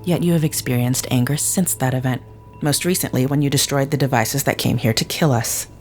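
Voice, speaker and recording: A woman playing a calm, analytical companion in an audio drama, speaking precisely and formally without contractions. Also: very deep voice, a little fast, soft background music. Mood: measured, clinical. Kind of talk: scripted audio drama acting.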